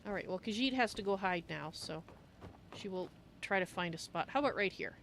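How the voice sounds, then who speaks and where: Woman streamer with lively, swooping intonation. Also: mid-range voice, fairly quick, slight background noise. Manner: playful, musing aloud. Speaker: streamer, game roleplayer. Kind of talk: in-character roleplay narration of a video game, in third person.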